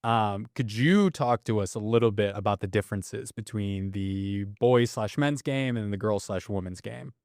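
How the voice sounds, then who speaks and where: Lower-voiced man telling a lighthearted story. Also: normal speed, few pauses, darkish tone, dry recording, clean studio sound. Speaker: boys volleyball advocate. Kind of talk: TV interview.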